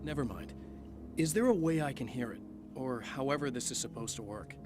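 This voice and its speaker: Dry, low-key male game hero acted. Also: animated, fairly quick, music in the background, natural voice. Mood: wry, evasive. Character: calm, wry male hero, guarded and reassuring. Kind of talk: understated video game acting.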